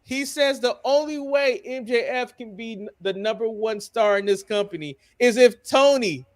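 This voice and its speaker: A man's voice: very high-pitched, moderate pace. A man hosting a wrestling podcast, giving opinionated recaps in a blunt, emphatic and sometimes profane way. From United States.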